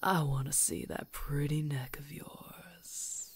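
A woman playing a menacing, playful werewolf hunter who gloats over prey in a breathy, husky ASMR whisper, with a slow teasing delivery. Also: deep voice, little pitch movement. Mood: predatory, savoring.

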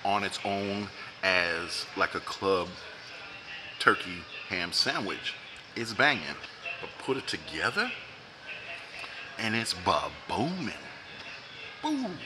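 Deep-voiced man reviewing food. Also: sing-song, slow pace, pauses often, noisy background. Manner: enthusiastic, joking, talks while eating. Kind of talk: casual food review vlog. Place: restaurant dining room, indoors.

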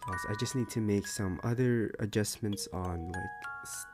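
A man's voice: lowish voice, normal speed, music in the background. A man talking casually and informally to his viewers as a channel creator, in a rambling way. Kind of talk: casual outro chat to viewers.

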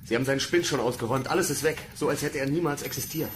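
An actor playing an agitated space station soldier in a radio drama, speaking in urgent, clipped phrases. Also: male voice, mid-range voice, rapid-fire, soft background music, lightly acted. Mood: grim, urgent. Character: agitated male space station soldier reporting bad news.

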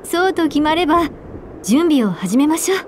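A woman voicing a composed, polite young church woman in a Japanese video game, formal, refined and gently firm. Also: high-pitched, very animated, moderate pace, a little hiss or hum.

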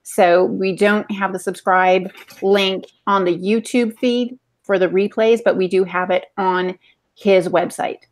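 A woman's voice: medium pitch, steady tone, measured pace. A woman in her forties co-hosting a podcast, chatting casually and giving practical information. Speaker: podcast co-host. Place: home room, indoors.

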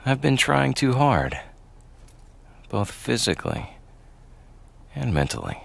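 A man playing a brooding, remorseful young fantasy warrior in a video game, speaking in a grave, measured way. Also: mid-range voice, huge pitch swings, gravelly, breathy edge, no room sound, heavily acted. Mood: subdued, grateful. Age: young adult. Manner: grave, measured, self-reproaching. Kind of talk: video game cutscene acting.

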